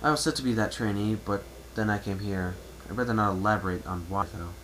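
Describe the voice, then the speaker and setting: A man's voice: fairly low pitch, fast, a bit bright, close to an everyday voice. A man playing a young male pony traveler who talks in a polite, casual, slightly hesitant and reserved way. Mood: guarded. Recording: noisy amateur home recording.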